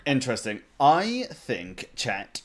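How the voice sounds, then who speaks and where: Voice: mid-range voice, wildly swooping pitch, takes it slowly. A streamer giving casual reaction commentary over a video game.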